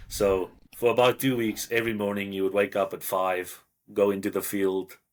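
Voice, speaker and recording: A man from South Africa with a South African accent, a podcast guest telling hunting stories in a relaxed, plain way. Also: fairly low pitch. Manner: relaxed, plain storytelling with small pauses. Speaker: guest hunter. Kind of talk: casual storytelling.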